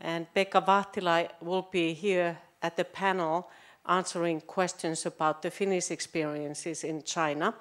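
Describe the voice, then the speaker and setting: Female panel moderator with a lowish voice: polite, slightly halting. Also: flat delivery, fairly bright tone.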